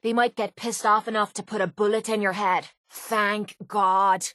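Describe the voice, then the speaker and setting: Video game companion: actress as a young Irish woman brawler, blunt, sarcastic, hard-drinking, mid-pitched, recorded close and dry. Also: fairly even intonation, moderate pace, fairly bright tone, no echo, natural voice. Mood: blunt, mocking. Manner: blunt, mocking, scrappy.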